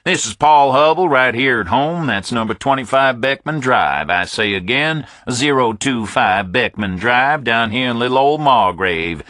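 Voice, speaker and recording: A man's voice: mid-range voice, lively intonation, measured pace, few pauses, bright, forceful tone, no echo, clean studio sound, pitched above the performer's own voice. A man voicing a confident, jocular southern man talking over a speakerphone, with a Southern US accent. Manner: chatty, jocular.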